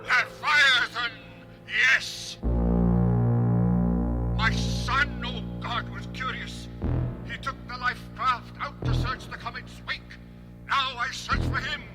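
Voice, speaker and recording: An actor playing a grieving rocket captain, anguished and declamatory, pleading over a radio call in a radio drama. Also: male voice, very high-pitched, steady tone, slow, loud music behind the voice. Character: grieving, pleading rocket captain searching for his lost son.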